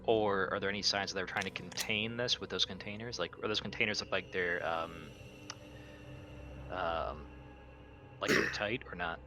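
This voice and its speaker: A man's voice: low voice, normal speed, pauses often, bright, forceful tone, a little hiss or hum. A man playing a tabletop roleplaying game, improvising orders and questions in a decisive, commanding manner.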